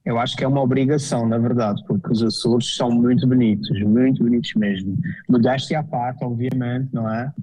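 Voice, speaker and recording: Azorean Portuguese man, mellow voice. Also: mid-range voice, fairly even intonation, brisk, poor audio. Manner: hesitant, with many fillers and restarts. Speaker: guest architect. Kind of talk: casual interview talk.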